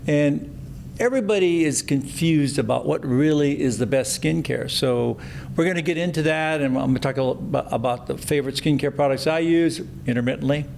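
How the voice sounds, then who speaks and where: A man's voice: fairly high pitch, a little fast, creaky, darkish tone, a little hiss or hum. A middle-aged man, a plastic surgeon hosting his podcast, chatty and enthusiastic with frequent restarts. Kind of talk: podcast interview.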